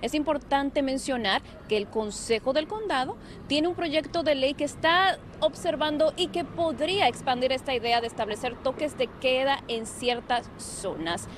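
A young woman field reporter delivers a live report with a clear, energetic on-air cadence. Her voice sits high and bright, and a faint hum of street noise runs behind her. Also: normal speed, few pauses. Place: outdoors on a city street at night. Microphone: handheld mic.

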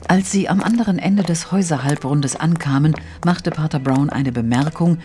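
A man narrating a detective audio play in a measured, literary, suspenseful way. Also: fairly high pitch, darkish tone, faint music behind, close to an everyday voice. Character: storytelling narrator of a mystery.

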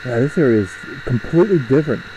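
A man's voice: medium pitch, expressive, fast talker, dark tone, lo-fi sound, slight background noise. A young man chatting casually and rambling with fillers while riding a motorcycle through a suburb, recorded on a helmet mic. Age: young adult. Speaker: motovlogger.

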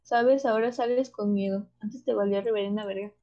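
A woman's voice: medium pitch, steady tone, a little fast, dark tone, dull audio. A young woman with a northern Mexican accent co-hosting a casual livestream chat, candid and slangy. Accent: northern Mexican Spanish. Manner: slangy, laid-back, candid. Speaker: podcast co-host.

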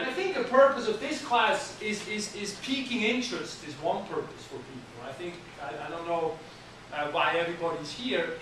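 Male anatomy instructor in his thirties, lively and bright-voiced, lectures from across an echoey classroom. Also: sits high, recorded from a distance, background noise. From Switzerland. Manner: animated, talks with his hands, restarts often. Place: college classroom, indoors. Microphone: far camera at the back of the room.

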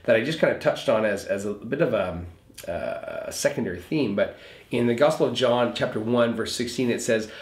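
A man's voice: medium pitch, very animated, a little fast. A man speaking as a pastor, explaining scripture in an earnest, conversational recap of a sermon, repeating points for emphasis. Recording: roomy echo.

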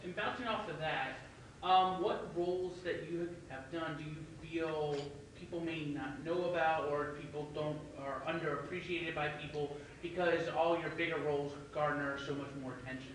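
Brief: male fan, somewhat high voice, hesitant question, echoey hall from far away. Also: steady tone, normal speed, a bit bright, slight background noise. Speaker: audience member asking a question.

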